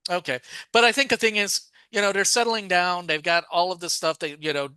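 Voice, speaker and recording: A man's voice: on the high side, bright, forceful tone, clear, resonant tone, dry recording. A man co-hosting a podcast, chatting casually and rambling with lots of fillers and self-corrections. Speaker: podcast co-host. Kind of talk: casual chat about a TV show.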